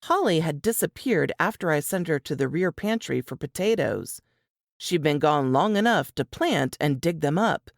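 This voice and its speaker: A woman reading suspense fiction as an audiobook narrator, measured and expressive in a dramatic scripted style. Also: deep voice, no echo, studio quality.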